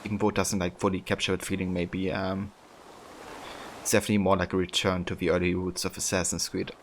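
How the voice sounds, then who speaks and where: Low-voiced streamer with a German accent. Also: male voice, fast, slight background noise. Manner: relaxed, rambling, many fillers. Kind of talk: casual live-stream commentary.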